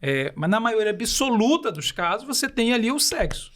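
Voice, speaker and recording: A man's voice: high voice, sing-song, normal speed, a bit bright. A man from Belém do Pará with a Paraense accent, explaining ideas on a podcast and asking rhetorical questions as he goes. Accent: Paraense Brazilian Portuguese. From Belém do Pará, Brazil. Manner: didactic, uses rhetorical questions. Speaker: podcast guest.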